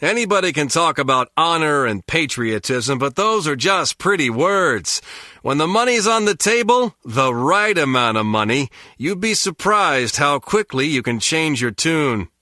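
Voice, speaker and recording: Sardonic American turncoat spy, bright-voiced. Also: male voice, on the high side, very animated, a little slow, dry recording, clean studio sound, exaggerated voice. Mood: cynical, self-justifying. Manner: sardonic, slangy. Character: cynical, greedy American turncoat spy, unrepentant and mocking. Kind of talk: video game voice acting.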